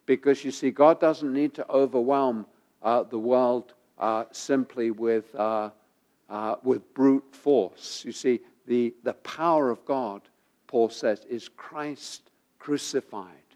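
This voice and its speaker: A man preaching a sermon while he has a head cold, his voice gravelly, pausing often with ums and uhs and repeating phrases for emphasis. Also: medium pitch, darkish tone. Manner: halting, many ums and uhs. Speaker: pastor.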